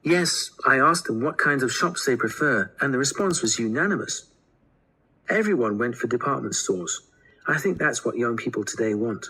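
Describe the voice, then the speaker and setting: A man's voice: medium pitch, lively intonation, moderate pace, bright, forceful tone, husky, exaggerated voice, low-quality recording. A man playing an earnest college student explaining survey findings in a scripted listening-test dialogue. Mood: earnest, informative.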